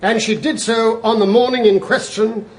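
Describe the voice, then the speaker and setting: Very high-voiced fussy British prosecutor. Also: male voice, fairly even intonation, normal speed. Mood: formal. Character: fussy, formal male prosecuting barrister. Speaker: prosecuting counsel. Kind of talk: British courtroom radio drama.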